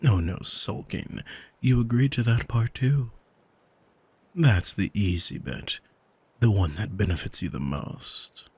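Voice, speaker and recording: A man playing an arrogant demon in an ASMR audio drama, smug and teasing, speaking deliberately and intimately to the listener with a husky voice, close on the microphone. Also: lowish voice, animated, darkish tone, heavily acted. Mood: smug, controlling. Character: arrogant male demon who owns a soul, smug, taunting. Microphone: close studio microphone, dry.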